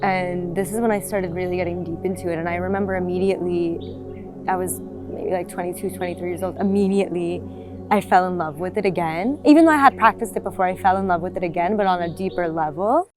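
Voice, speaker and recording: Young woman from Florida, lowish voice, reflective storytelling over background music. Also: fast. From South Florida, United States. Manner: reflective, with frequent fillers and restarts. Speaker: interviewee telling her life story. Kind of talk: personal storytelling interview. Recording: music playing behind the voice.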